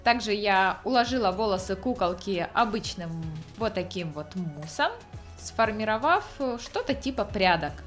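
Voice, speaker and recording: Woman narrating a craft tutorial with lively intonation. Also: medium pitch, normal speed, faint music behind. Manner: step-by-step explaining. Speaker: craft channel host.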